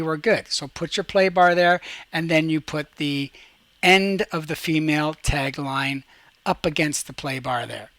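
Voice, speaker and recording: Higher-voiced man teaching a software tutorial. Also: dry recording. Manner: instructional, talks through each step aloud. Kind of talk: screen-recorded software tutorial, step-by-step instruction.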